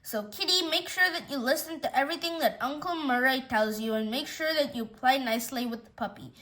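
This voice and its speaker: A woman's voice: high-pitched, brisk, bright, forceful tone. A woman voicing a chipper, sweetly reassuring pet owner who coos in a sing-song way, in a storybook reading. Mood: cheerful, coaxing.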